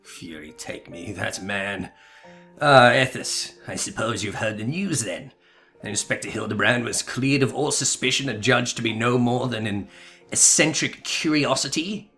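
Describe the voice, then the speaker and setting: A man voicing a weary, wry knight investigator from a video game, speaking in a measured, dry, formal manner. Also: mid-range voice, bright, forceful tone. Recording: music behind.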